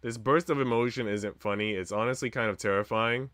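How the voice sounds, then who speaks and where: A man's voice: mid-range voice, a little fast, fairly bright tone, dry recording, clean studio sound. A man streaming a visual novel, reading the story narration expressively with casual asides.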